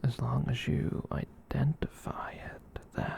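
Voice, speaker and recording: A performer voicing a gentle, kind giant catboy in a soft breathy whisper, rambling reassuringly in an ASMR roleplay. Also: medium pitch, level tone. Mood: soothing, confiding. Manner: rambling, reassuring, slow with long pauses.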